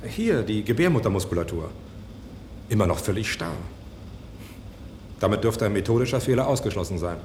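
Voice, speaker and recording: A man's voice: lowish voice, expressive, brisk, long pauses, darkish tone, a little hiss or hum, close to an everyday voice. An actor playing an ambitious, self-assured male medical researcher in a German radio play, speaking in a wry, enthusiastic conversational way. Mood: matter-of-fact.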